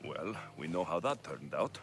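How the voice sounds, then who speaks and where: A man's voice: very deep voice, very animated, normal speed, over music, full character voice. A man playing a confident young Greek mercenary hero in a video game, with a dry, wry and direct manner. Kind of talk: video game dialogue acting.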